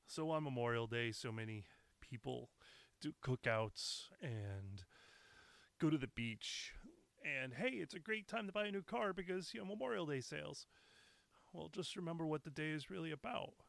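Raspy, gravelly-voiced man ranting. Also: a little high, lively intonation, normal speed, a bit bright, dry recording. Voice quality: raspy from recent illness. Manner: blunt, profane, impassioned. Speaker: podcast host. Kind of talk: solo podcast rant.

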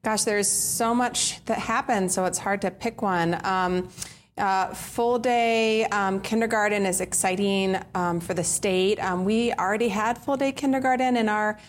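A woman's voice: mid-range voice, unhurried, a little hiss or hum. A woman from Colorado answering interview questions, hesitant with many fillers. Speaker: early childhood council representative.

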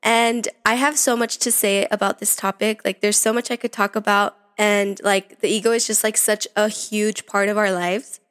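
A young woman hosting a casual self-help podcast, her voice slightly raspy from allergies, chatting with lots of filler words. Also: medium pitch, flat delivery, moderate pace, hardly any pauses, a bit bright. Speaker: podcast host.

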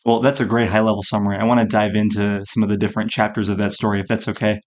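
A man's voice: lowish voice, little pitch movement, racing. A man hosting an interview podcast, introducing his guest and asking questions. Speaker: podcast host.

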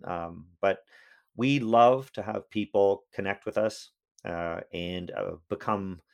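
A man's voice: on the low side, gravelly. A man, a history professor, answering interview questions in a thoughtful way with frequent restarts.

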